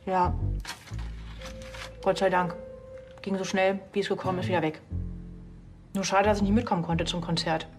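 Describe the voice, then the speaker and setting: An actress playing a polite, concerned police office secretary in a TV crime comedy. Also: female voice, on the low side, flat delivery, moderate pace, long pauses, over music, natural voice.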